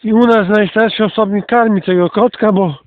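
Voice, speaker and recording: A man, hosting a casual outdoor narrated walk for his channel and pausing often to think as he comments on what is around him. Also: sits high, steady tone, dry recording. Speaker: channel host. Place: outdoors, walking through countryside. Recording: muffled phone-like narrow-band sound.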